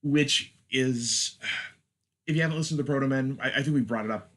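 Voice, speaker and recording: A man's voice: medium pitch, a little fast, a bit bright. A man hosting a casual film chat, with a wry, joking manner. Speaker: podcast host.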